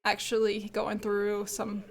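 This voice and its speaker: A woman's voice: mid-range voice, monotone, slow, gravelly. A woman streamer chatting casually with her viewers, stuffed up from a cold and allergies, rambling and laughing often. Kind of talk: casual livestream chat with viewers.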